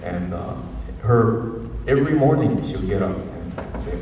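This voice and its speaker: A university professor from Hawaii with a Hawaiian accent, giving a rambling, informal, unscripted talk at a community forum and often chuckling. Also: male voice, low-pitched, lively intonation, measured pace, dark tone. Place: museum auditorium. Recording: echoey hall, distant mic, faint noise.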